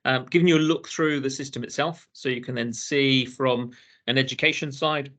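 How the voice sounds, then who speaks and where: A man from the UK presenting a business webinar, pausing often with ums and uhs. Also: medium pitch, fairly even intonation, a bit bright. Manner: hesitant, many ums and uhs.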